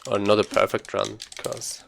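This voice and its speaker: A man's voice: fairly low pitch, flat delivery, moderate pace, vocal fry, fairly bright tone, slight background noise. A man giving casual, informal gameplay commentary as a speedrunner, thinking aloud as he plays.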